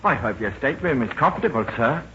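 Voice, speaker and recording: An actor playing a polite, deferential ship's steward in 1940s radio style, formal and apologetic in manner. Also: male voice, medium pitch, big pitch swings, fast, bright, forceful tone. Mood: fussy, deferential. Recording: dull, hissy old radio recording.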